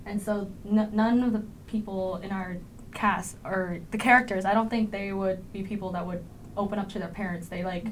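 A woman's voice: mid-range voice, fairly even intonation, normal speed, low-quality recording. A teenage young woman, a student actor, gives casual answers in a panel interview. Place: indoor panel, seated group. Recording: clear background room noise.

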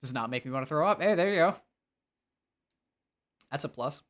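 A man's voice: a little high, lively intonation, normal speed, a bit bright. A man with a nasal voice, talking casually and expressively as he livestreams a game and answers chat, often self-deprecating.

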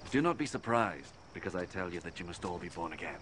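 An earnest holy teacher in a biblical film, with a deep voice. He declares his teachings with quiet authority. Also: animated, quick pace, noisy background. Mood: calm, earnest. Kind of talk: film drama acting.

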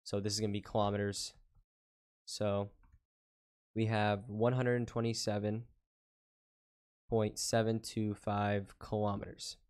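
A man working through a math word problem step by step on a whiteboard, explaining calmly with frequent "uh" fillers. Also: lowish voice, unhurried, long pauses, smooth. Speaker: math tutor. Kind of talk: whiteboard lesson walkthrough.

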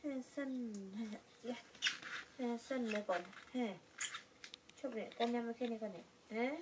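High-voiced young woman, distant and noisy. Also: steady tone, moderate pace. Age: young adult. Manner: coaxing, repeats short words. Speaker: pet monkey caretaker. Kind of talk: casual coaxing talk to pet monkeys. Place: small indoor room, on a bed. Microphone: camera mic a little way off. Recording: distant mic with clear background noise.

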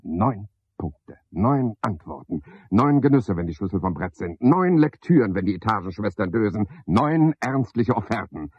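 An actor playing a garrulous, pompous, scheming porter in a radio play, wordy and self-important, speaking in rambling clipped phrases. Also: male voice, on the low side, expressive, moderate pace, few pauses, breathy edge, dry recording. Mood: scornful, boastful. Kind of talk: radio play monologue, theatrical. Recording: dull 1970s radio play recording.

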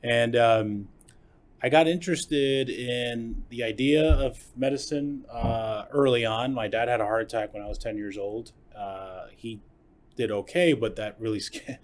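A man's voice: medium pitch, unhurried. A man in his forties from New Jersey, a surgeon guest talking in a friendly, easy way at a desk microphone in a studio. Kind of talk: casual interview. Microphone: desk microphone on stand.

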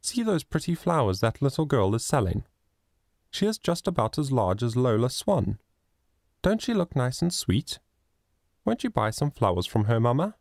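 A man reading a storybook, voicing an eager, chattering little girl who pleads and bubbles with excitement. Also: medium pitch, normal speed, vocal fry, no echo, studio quality, voice pushed up. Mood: eager pleading.

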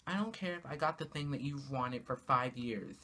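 A teenage girl vlogging from her small bedroom, talking casually to the camera and ranting in an exasperated way, her pitch jumping up on rhetorical questions. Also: moderate pace, fairly bright tone. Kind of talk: casual comedic rant to camera. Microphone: camera in front of her, at arm's length.

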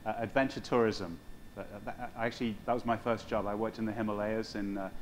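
A middle-aged man, a hotel general manager, speaking in a measured, matter-of-fact way on a conference panel stage with a lapel mic. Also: fairly low pitch, little pitch movement, slight background noise. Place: large conference hall stage.

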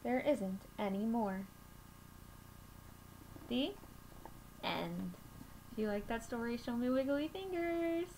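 High-voiced young woman reading slowly to children. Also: darkish tone. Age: young adult. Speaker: children's storytime reader at a school. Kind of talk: reading a picture book aloud to children. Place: small room at home, indoors. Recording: noisy home webcam recording.

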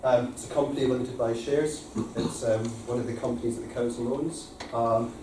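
A man's voice: mid-range voice, moderate pace. Scottish guy presenting at a council meeting, kinda far from the mic, roomy echo, hissy. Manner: relaxed, self-deprecating, many fillers. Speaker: company managing director presenting. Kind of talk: formal presentation to a council panel. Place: large council committee room. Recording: echoey committee room from far off.

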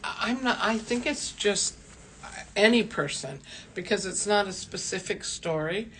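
Brief: woman of medium pitch, hesitant companion explaining paintings, a little off-mic, faint noise behind. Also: lively intonation, unhurried, rough recording. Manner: hesitant, quietly explaining artwork. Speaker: tour companion.

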